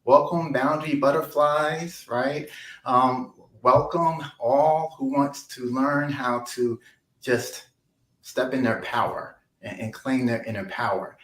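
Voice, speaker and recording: A man's voice: mid-range voice, fairly even intonation, takes it slowly, a bit bright. Effusive male host in echoey room. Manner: effusive, many "um"s, repeats phrases. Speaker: interview host. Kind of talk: hosting an online interview.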